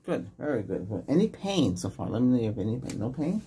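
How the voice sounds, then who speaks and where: Middle-aged ASMR doctor, noisy recording. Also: male voice, medium pitch, very animated, quick pace. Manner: short soft questions and instructions, reassuring. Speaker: ASMR creator playing an ER doctor. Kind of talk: ASMR medical exam roleplay. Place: small indoor room set up as a clinic.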